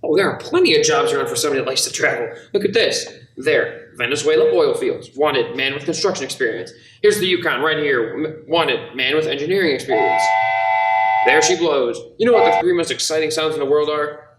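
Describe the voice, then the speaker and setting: A young man playing an earnest, restless small-town dreamer with halting, insistent delivery in an amateur radio play. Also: a little high, expressive, fairly bright tone. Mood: eager. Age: young adult. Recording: echoey room, distant mic, some noise.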